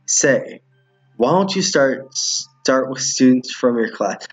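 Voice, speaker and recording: Man reading as a husky, menacing school principal. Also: medium pitch, expressive, slow pace, faint music behind. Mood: coldly commanding. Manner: commanding, threatening. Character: menacing male school principal, cold bullying boss.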